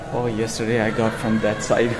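A man in his thirties from Nepal, chatting upbeat and directly to viewers in a casual vlog filmed on a phone held at arm's length. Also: lowish voice. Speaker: vlogger. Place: streets, stairwell and gym at dawn, then a bedroom. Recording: phone audio with clear background noise.

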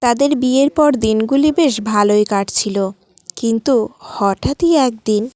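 A woman's voice: on the high side, normal speed, heavy background noise. A woman narrating a scripted cartoon story.

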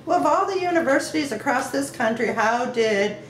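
A woman's voice: sits high, lively intonation, brisk. A woman interviewing an elderly man at home for an oral history, asking plain, prompting questions. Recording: echoey room with faint hiss.

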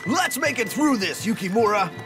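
Carefree swaggering samurai for a game, voice up high and swooping, music behind. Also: male voice, animated, normal speed. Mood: bold, rousing. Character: boisterous, carefree big samurai warrior. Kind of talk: dramatic English video game voice acting.

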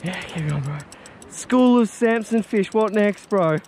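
A man filming himself fishing from an ocean rock ledge on an action camera, excitedly calling out instructions over wind and waves. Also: sits high, measured pace. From Australia. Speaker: fishing vlogger. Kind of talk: excited outdoor commentary. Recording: loud wind and surf noise.

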